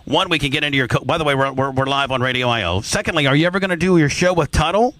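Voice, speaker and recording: A man's voice: a little high, very fast, fairly bright tone, dry recording. Dry-miked male radio host, blunt and teasing. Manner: blunt, teasing interrogator who repeats and presses for detail. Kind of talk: casual, profane comedic talk radio banter. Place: radio studio.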